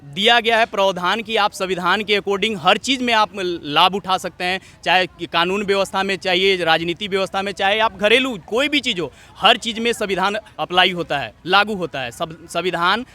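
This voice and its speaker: A man's voice: high voice, normal speed, hardly any pauses, pushed and bright. A young man giving earnest, repetitive, opinionated answers in speech-like phrasing as a student leader in a street interview. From Jharkhand, India. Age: young adult.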